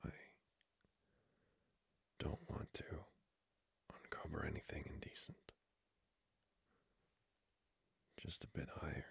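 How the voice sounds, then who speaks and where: Casting: male actor as seductive aristocratic vampire for ASMR roleplay, extremely low gravelly voice, courtly and intimate. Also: flat delivery, full character voice. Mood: hesitant, tempted. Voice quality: breathy, whispery, gravelly. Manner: formal, slow, seductively polite. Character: flirty, courtly male vampire lord, hungering for blood.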